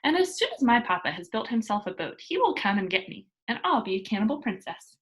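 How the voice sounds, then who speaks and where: A woman's voice: fairly high pitch, sing-song, fast, slight background noise. A woman voicing a cheerful, confident nine-year-old girl from a storybook, proud and carefree. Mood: excited.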